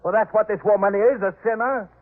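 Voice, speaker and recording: An actor playing a proud, disdainful householder in a 1950s radio drama, commanding, clipped and pompous. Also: male voice, high-pitched, fairly even intonation, a little fast, natural voice. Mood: scornful challenge. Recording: muffled phone-like 1950s radio recording.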